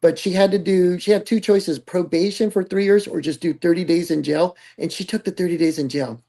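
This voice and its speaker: A woman with a low, dark voice talks in an easy, candid chat. Her tone is gravelly and a bit husky, and she stresses her points emphatically between halting restarts. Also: steady tone. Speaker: podcast co-host. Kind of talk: casual chat.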